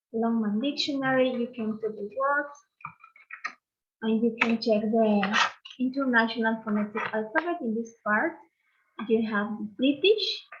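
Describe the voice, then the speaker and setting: A woman's voice: on the high side, muffled recording. A woman teacher from Peru with a Peruvian Spanish accent, leading an online English lesson and patiently repeating phrases for learners to copy.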